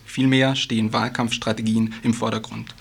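A man with a husky voice reads a scripted radio news report, stumbling now and then. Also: fairly low pitch, little pitch movement, normal speed, a bit bright.

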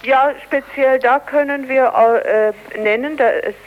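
A woman giving a halting telephone interview as a union spokesperson, heard over a muffled line. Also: high voice. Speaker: union strike office spokesperson.

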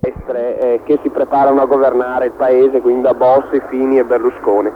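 A man's voice: medium pitch, flat delivery, a little fast. Tinny, faintly noisy line, man interviewed. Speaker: demonstrator being interviewed. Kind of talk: spontaneous interview answer.

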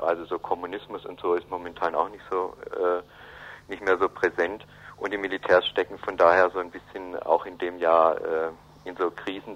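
A man giving a hesitant, analytical explanation in an unscripted radio interview, often pausing and rephrasing. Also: low voice, fairly bright tone. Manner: hesitant, halting, with frequent ums and rephrasing. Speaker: interviewee explaining South American politics. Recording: dull, band-limited radio recording.

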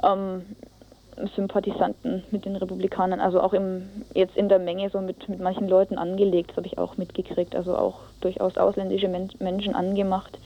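A woman's voice: mid-range voice, completely flat delivery, fairly quick. A woman giving an informal eyewitness account as a radio reporter, often searching for words in a colloquial way. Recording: dull lo-fi radio tape.

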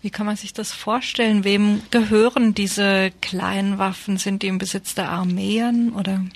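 Female radio interviewer, informal questioning, mid pitch, somewhat even melody. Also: a little slow.

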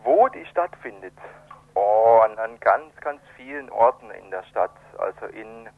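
A man being interviewed, explaining things in a halting way and searching for words, over a telephone. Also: fairly low pitch, animated, creaky. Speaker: event organiser, interviewed guest. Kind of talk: spontaneous radio interview by phone. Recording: narrow tinny telephone line.

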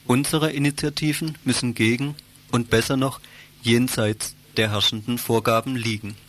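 Guy with mid-range voice reading a political radio commentary, formal and measured. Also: medium pitch, steady tone. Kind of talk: scripted political radio commentary, read aloud.

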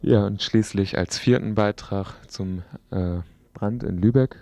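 A man's voice: on the low side, a little slow, creaky, darkish tone, dry recording. A man hosting a radio news programme, announcing items in an informal way.

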